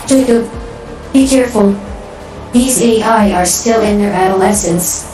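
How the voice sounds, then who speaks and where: An actress playing a watchful guide in a sci-fi video game, speaking in a drawn-out, theatrical way. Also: female voice, mid-range voice, dark tone, close to an everyday voice. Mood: cautioning. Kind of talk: dramatic game acting. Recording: heavily echoing, distant, behind music.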